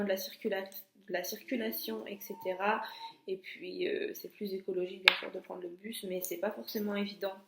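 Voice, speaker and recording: A woman's voice: mid-range voice, fairly bright tone, roomy sound. Chatty young woman with a stuffy nose. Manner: chatty, many hesitations and restarts. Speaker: vlogger. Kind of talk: casual chat.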